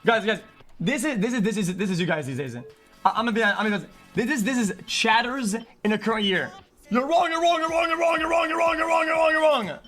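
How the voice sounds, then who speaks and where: A man's voice: extremely high pitch, quick pace, a bit bright, faint music behind. A man livestreaming an unscripted, agitated rant to his chat, swearing often and stumbling over repeated words.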